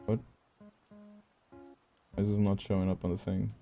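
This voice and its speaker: A man's voice: low-pitched, little pitch movement, normal speed, mellow, soft background music. A man chatting casually on a livestream as its host, swearing freely in giggly banter while he works. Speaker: streamer, VTuber host.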